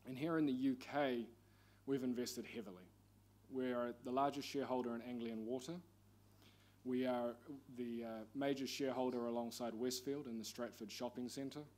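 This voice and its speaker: A man's voice: medium pitch, steady tone, a little mellow. New Zealand man giving a panel talk. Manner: measured, formal delivery. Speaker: panel speaker, investment executive. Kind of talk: conference presentation.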